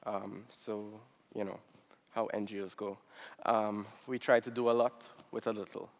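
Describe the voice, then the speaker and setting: A man from Trinidad and Tobago, giving a relaxed conference presentation with frequent ums. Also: on the low side, measured pace, creaky, in a large hall. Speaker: NGO director, panel speaker.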